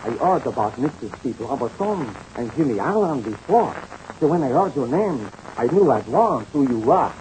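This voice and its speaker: An actor playing a polite, earnest captured French aviator with a French accent and formal broken English in a 1930s radio serial. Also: male voice, mid-range voice, big pitch swings, normal speed, a little mellow, natural voice, poor audio. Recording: hissy old-time radio serial recording.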